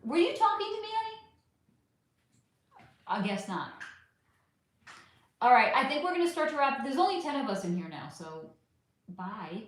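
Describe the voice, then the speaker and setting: A woman's voice: high voice, big pitch swings, normal speed, pauses often. A woman hosting a casual livestream chat, profane and affectionate, losing her voice. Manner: chatty, profane, affectionate with viewers. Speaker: livestream host. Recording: very echoey room.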